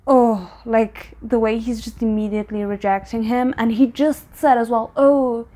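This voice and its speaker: A woman in her twenties giving casual, candid and emphatic reaction commentary in a bedroom. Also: on the high side. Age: 20s. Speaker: reaction video host. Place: small bedroom indoors.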